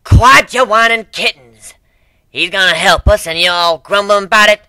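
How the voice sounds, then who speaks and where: A man voicing a tough, gruff old female cat who leads a tribe in a dramatic story reading, brash and bossy. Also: high voice, normal speed, sharp tone, no echo, voice pushed up, full character voice. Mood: roaring scolding.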